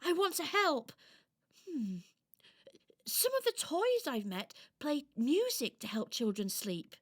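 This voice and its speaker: Tiny toy doll girl for children's radio play, played by a woman: kind, earnest, exclaiming, very animated delivery. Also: high-pitched, slow pace, pitched above the performer's own voice, exaggerated voice. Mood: eager, kind.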